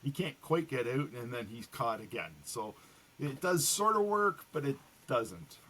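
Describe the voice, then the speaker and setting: A man speaking casually as a guest novelist in a podcast interview, explaining things in a halting, step-by-step way. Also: mid-range voice, big pitch swings, a crowd in the background.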